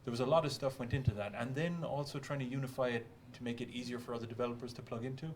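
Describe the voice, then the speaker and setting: A man talking quickly and casually as a game developer in an unscripted panel chat. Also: medium pitch, steady tone, a little hiss or hum.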